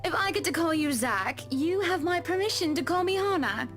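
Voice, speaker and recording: A man's voice: very high voice, animated, normal speed, a bit bright, soft background music, pitched above the performer's own voice. A man voicing a glamorous, gracious rich woman who owns a mansion in a visual novel. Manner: gracious, theatrical hostess.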